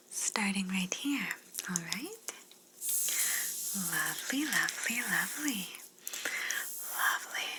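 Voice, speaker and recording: A woman playing a curious, politely condescending alien examiner in a sci-fi ASMR roleplay, whispering softly and fussily, repeating words soothingly. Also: mid-range voice, noisy background, lightly acted. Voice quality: soft, breathy whisper. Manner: slow, gently fussy, clinical, repeats words soothingly.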